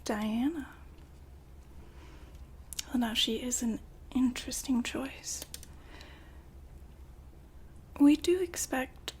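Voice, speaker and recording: A woman playing the poised, businesslike madam of a futuristic establishment in an ASMR roleplay, whispering softly and formally to a client. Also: high voice, a little hiss or hum. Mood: courteous, businesslike. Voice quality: soft, breathy whisper. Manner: polite, formal, unhurried saleswoman patter.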